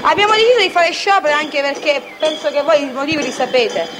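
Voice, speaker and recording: A woman from southern Italy giving spontaneous testimony as a striking worker, halting and repeating words. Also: high-pitched, noisy background. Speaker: striking car factory worker. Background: clear music.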